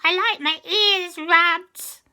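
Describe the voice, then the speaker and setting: A woman voicing a female cat witch ghost who speaks high and drawn out, with purrs and cackles. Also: fairly even intonation, takes it slowly, bright, forceful tone, exaggerated voice. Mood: grand.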